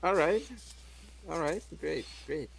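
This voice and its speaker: A man's voice: fairly high pitch, slow pace, creaky, a little hiss or hum, low-quality recording. A man playing a tabletop game, bantering casually and in character with dry jokes. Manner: dry, joking.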